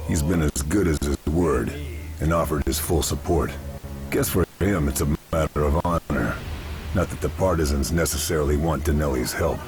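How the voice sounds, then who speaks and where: An actor playing a stoic Allied sniper in a video game, terse and deadpan in delivery. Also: male voice, a bass voice. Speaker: protagonist and narrator. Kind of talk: scripted game narration and dialogue.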